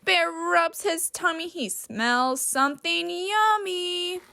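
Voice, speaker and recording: A woman reading a storybook aloud in a deliberate, instructive teacher's manner, pausing to ask questions. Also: very high-pitched, gravelly, bright, forceful tone.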